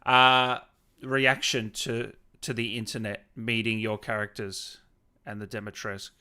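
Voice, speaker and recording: A man with a bright, sharp-edged voice hosts a relaxed online interview. He is chummy and encouraging, moving between casual chat and questions for his guest. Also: lowish voice, measured pace, clean tone. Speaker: interviewer, host. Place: online video call.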